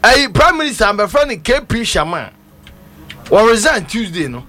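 A Ghanaian man talks over the news casually in a high, bright voice. He is emphatic and repeats himself, with a very animated rise and fall in pitch. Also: normal speed. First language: Twi.

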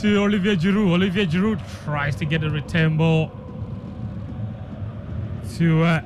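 A man's voice: a little high, level tone, normal speed. A man giving live football commentary on the radio. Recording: clear background noise, stadium ambience.

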